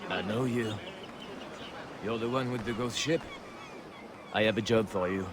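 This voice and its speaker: An actor playing a sly, self-serving trader in a video game, speaking in a wry, insinuating way. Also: male voice, lowish voice, a little slow, close to an everyday voice. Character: sly, self-serving male fishing trader striking bargains. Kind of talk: dramatic game cutscene acting. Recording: noisy outdoor game ambience behind.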